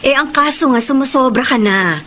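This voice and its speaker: A woman's voice: high-pitched, animated, moderate pace. A woman acting a possessive, controlling financier and mistress in a Filipino radio drama. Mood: firm, exasperated. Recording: very noisy ambience behind voice.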